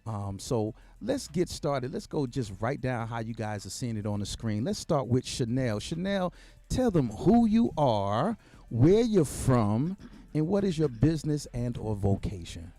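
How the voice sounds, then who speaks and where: A man's voice: medium pitch, very animated, a little slow, dark tone. Playful middle-aged man hosting. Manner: playful, teasing, encouraging with frequent affirmations. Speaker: host. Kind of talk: lively panel chat show.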